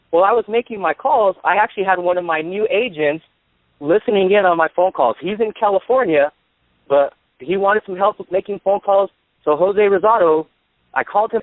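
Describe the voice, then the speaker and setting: A man's voice: sits high, dry recording. A man giving instructional sales training as an agent, explaining his methods in a plain-spoken, step-by-step way. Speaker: insurance sales agent and trainer, guest speaker. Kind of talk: instructional sales talk on a call. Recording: dry, tinny phone line.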